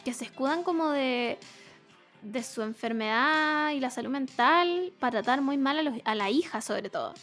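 High-pitched Chilean woman chatting over music. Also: lively intonation, moderate pace, fairly bright tone. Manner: slangy, sweary, giggly. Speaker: co-host of a talk show. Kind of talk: casual, irreverent chat.

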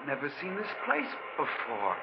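Bewildered male amnesiac, 1940s radio drama. Agitated, suspicious, animated intonation, slightly noisy old recording. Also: fairly high pitch, normal speed, gravelly, muffled recording, exaggerated voice. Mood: confused, indignant. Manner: agitated, questioning, disbelieving. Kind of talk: 1940s radio thriller acting.

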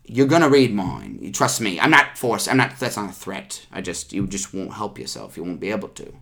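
A man's voice: on the low side, sing-song, a little fast, gravelly, a bit bright. A man hosting a comedic board game review, grilling his guests in a playful, mock-interrogating way. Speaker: host.

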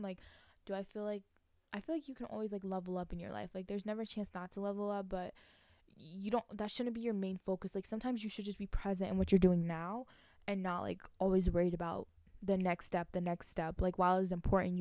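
Mellow, chatty young woman talking casually. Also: medium pitch, gravelly, no room sound, studio quality. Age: 19, late teens. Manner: rambling, giggly, slangy, thinking aloud. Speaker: vlogger, college student. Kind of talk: casual solo chat to viewers.

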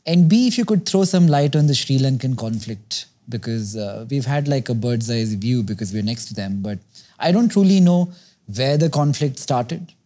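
Male podcast host, mellow mid-pitched voice, curious probing interviewer. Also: animated, moderate pace. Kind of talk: conversational interviewing.

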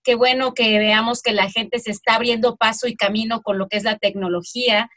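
Mexican lady cohosting an interview, voice a bit on the high side, conversational. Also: steady tone, a little fast, a bit bright. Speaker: interview co-host.

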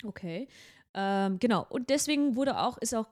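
A woman's voice: fairly high pitch, animated, normal speed, darkish tone. A young woman co-hosting a podcast in casual chat, rambling with lots of fillers and restarts. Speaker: podcast co-host.

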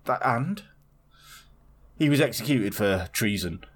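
A man's voice: medium pitch, expressive, unhurried. A man co-hosting a casual podcast chat, telling a story in a rambling way with lots of swearing and restarts. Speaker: podcast co-host.

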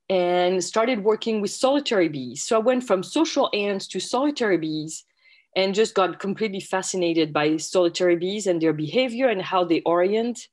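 A woman from France with a French accent, a guest researcher answering interview questions with frequent pauses and fillers. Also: medium pitch. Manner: friendly, many hesitations and fillers.

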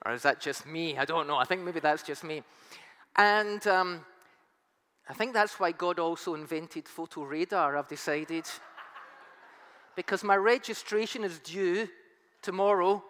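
Slow-talking pastor man with strained voice. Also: high-pitched, a bit bright. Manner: chatty, self-mocking humor. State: strained, nearly lost voice. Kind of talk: sermon. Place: church hall. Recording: long hall reverb, faint music.

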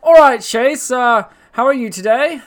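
A man voicing a rambling, laid-off cousin who now hosts a podcast game show, with a high put-on voice and slangy bluster. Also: expressive, unhurried. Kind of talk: comedic character monologue on a podcast. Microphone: podcast mic.

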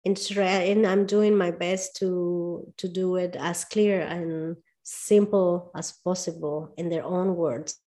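A woman's voice: lowish voice, fairly even intonation, slow, few pauses, mellow. Thoughtful woman with a Spanish accent. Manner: searching for words, thoughtful, earnest. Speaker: movement coach as guest. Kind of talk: casual interview talk.

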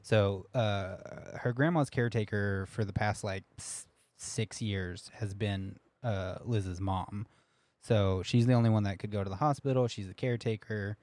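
Low voiced young guy rambling thru a story, laughs a lot, dry sound. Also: steady tone, unhurried, a little mellow, dry recording. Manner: rambling, laughing, many restarts. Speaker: podcast co-host. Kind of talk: casual storytelling chat.

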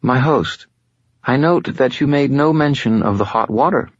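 A man voicing a female fallen angel spirit who speaks in a calm, formal, faintly condescending way. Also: mid-range voice, normal speed, no echo, highs cut off, pitched above the performer's own voice, lightly acted. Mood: aloof.

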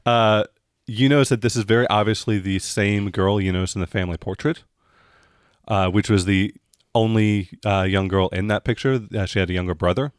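Low-voiced man running a tabletop game. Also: fairly even intonation, fairly quick, clear, resonant tone, dry recording, studio quality. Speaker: game master. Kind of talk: improvised narration of a tabletop horror mystery game.

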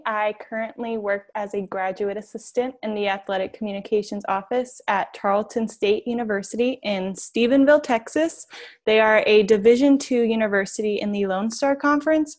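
A woman's voice: a little high, normal speed. A woman in her twenties from New York, answering interview questions in a chatty, enthusiastic way as a podcast guest. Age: 20s.

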